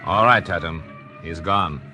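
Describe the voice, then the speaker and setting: An actor playing a cool, calculating psychiatrist, articulate and deliberate, in a 1940s radio suspense drama. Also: male voice, sits low, background noise. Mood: coolly commanding. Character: cool, calculating male psychiatrist narrating his own story.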